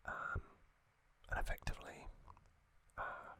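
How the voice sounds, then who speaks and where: A man whispering an ASMR trivia recap as host, hushed and unhurried, with hesitant pauses between short phrases.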